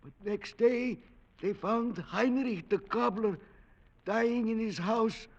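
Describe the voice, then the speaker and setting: High-pitched superstitious old game warden. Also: male voice, slow pace, full character voice. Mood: ominous storytelling. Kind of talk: 1940s radio drama acting. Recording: dull vintage radio recording.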